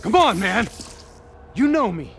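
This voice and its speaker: A sweary gruff game soldier, emphatic, highish voice with a hard edge, noisy action scene. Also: male voice, sing-song, measured pace, a bit bright, full character voice. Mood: pleading. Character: hot-headed, profane male soldier pleading with lost comrade. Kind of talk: cinematic video game acting.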